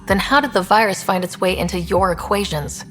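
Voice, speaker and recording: An actress playing a young woman space explorer in a video game, steady and dry-witted. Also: mid-range voice, a little fast, faint music behind, natural voice. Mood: indignant. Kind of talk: video game dialogue acting.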